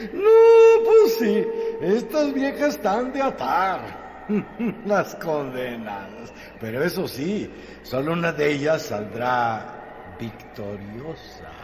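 A man's voice: fairly high pitch, long reverb tail, heavy background noise, heavily acted. An actor with a Mexican accent playing a mocking, laughing man in a radio drama. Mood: gleeful scorn. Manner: mocking, laughing through his words. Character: mocking, cackling man taunting a bitter woman. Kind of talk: Spanish-language radio drama acting.

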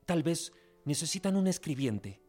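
An actor playing an earnest young lawyer and idealist in love, in a radio drama with music underneath. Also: male voice, fairly high pitch, very animated, moderate pace, darkish tone. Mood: earnest, tender.

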